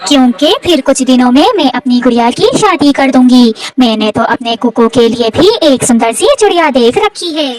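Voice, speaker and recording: A woman voicing a gentle, caring widowed mother sparrow in a children's cartoon, speaking tenderly and earnestly with melodrama. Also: high voice, animated, normal speed, few pauses, distant mic, noisy background. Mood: tender, motherly.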